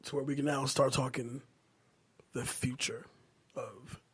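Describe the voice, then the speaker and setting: A man's voice: medium pitch, flat delivery, a little slow, crowd noise. A man hosting a casual podcast chat, steering the topics in informal, slangy language. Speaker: podcast host.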